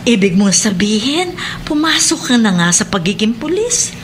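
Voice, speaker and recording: A woman's voice: fairly high pitch, sing-song, normal speed, background noise. A woman playing a kindly, proud middle-aged schoolteacher in a Philippine radio drama, with an emotional, motherly manner and a Filipino accent. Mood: surprised, curious. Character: kindly female schoolteacher, warmly proud of former pupil.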